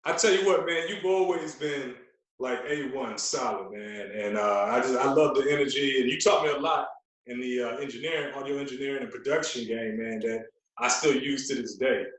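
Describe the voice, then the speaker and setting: A man's voice: medium pitch, moderate pace, fairly bright tone. Heavy room echo surrounds a man with a mid-range voice. He chats casually as a podcast guest, repeating phrases for emphasis. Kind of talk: casual chat. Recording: very echoey, roomy sound.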